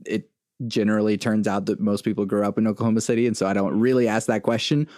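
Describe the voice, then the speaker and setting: A man with a fairly deep voice hosts a relaxed, conversational interview. His questions are informal and loosely phrased, built up piece by piece. The recording is dry, with hardly any room sound. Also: quick pace, dry recording. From born in Venezuela, moved to the US at six. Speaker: podcast host, interviewer.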